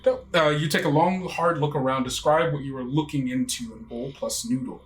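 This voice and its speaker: A man's voice: fairly high pitch, a little fast, low-quality recording. A man narrating a tabletop game as game master, with dramatic storytelling and casual asides. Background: faint music.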